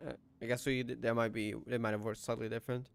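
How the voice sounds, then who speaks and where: A man's voice: medium pitch, level tone, quick pace, creaky, slight background noise. A man reacting to a game story, musing aloud and asking himself questions about it. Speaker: game streamer. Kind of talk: casual game reaction commentary.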